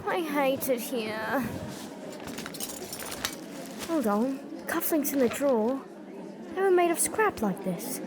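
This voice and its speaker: A woman's voice: high-pitched, animated, slow pace, long pauses, crowd noise. A woman acting a plucky, curious young girl in a workhouse for an audio drama. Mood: curious, determined.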